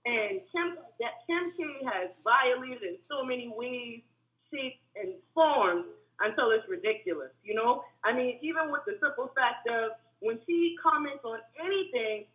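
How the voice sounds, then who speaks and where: A woman calls in over a thin, phone-like line. Her voice is monotone and a bit hard-edged, and it sits somewhat high. She speaks earnestly and keeps a steady, measured pace. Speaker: caller. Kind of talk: phone-in comment.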